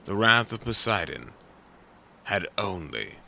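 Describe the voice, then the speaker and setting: Slow, solemn male epic narrator. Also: low-pitched, sing-song, vocal fry, bright, forceful tone, a little hiss or hum. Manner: solemn, portentous. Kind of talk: dramatic scripted narration.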